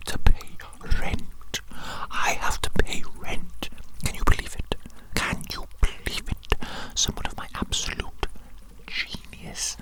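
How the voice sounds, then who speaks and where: A woman performing an ASMR roleplay as a grandiose, scheming evil scientist, whispering theatrically and repeating her phrases. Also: low-quality recording. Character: grandiose evil mad scientist doctor, scheming and self-important.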